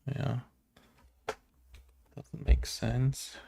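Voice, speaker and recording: A man who develops games, thinking aloud casually as he codes, halting and muttering to himself. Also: medium pitch, flat delivery, vocal fry, background noise. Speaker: game developer.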